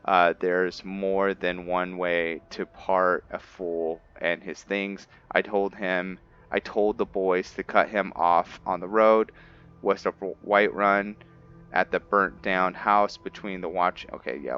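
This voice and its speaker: Deep-voiced, slow-paced man playing a game. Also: steady tone, a bit bright, clear, resonant tone, dull audio. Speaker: gamer hosting a roleplay playthrough. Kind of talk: casual game commentary, reading in-game text aloud.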